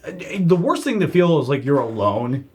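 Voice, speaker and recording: A man talking casually on a podcast as a comedian, animated and self-deprecating, laughing often. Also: fairly high pitch, moderate pace, slight background noise. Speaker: stand-up comedian guest.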